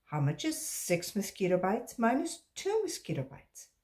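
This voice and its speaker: A woman's voice: medium pitch, huge pitch swings, normal speed, darkish tone. A woman voicing a firm, instructive, unflappable lady schoolteacher in a children's storybook read-aloud. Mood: quizzing.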